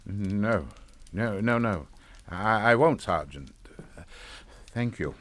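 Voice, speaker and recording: A man's voice: on the low side, animated, slow pace, slight background noise. An actor playing a genteel, middle-aged family man in a suspense drama, pompous and formal with a falsely jovial manner. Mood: grave, formal. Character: genteel English family patriarch, smoothly hypocritical and unflappable. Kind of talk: radio suspense drama acting.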